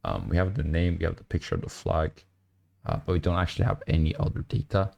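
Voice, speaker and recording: A young man with a hoarse, nearly lost voice, mumbling through a late-night coding stream with many fillers. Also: low-pitched, big pitch swings, fast talker, dry recording, highs cut off. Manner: speaks quietly to avoid disturbing neighbors. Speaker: programming streamer. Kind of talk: casual coding stream commentary. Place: small apartment room at night.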